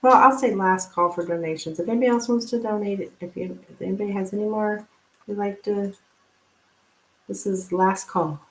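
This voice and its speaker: A woman hosting a casual live tarot reading, speaking informally and haltingly as if thinking aloud. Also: medium pitch, steady tone. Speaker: tarot reader, live stream host. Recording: roomy, echoing live stream sound.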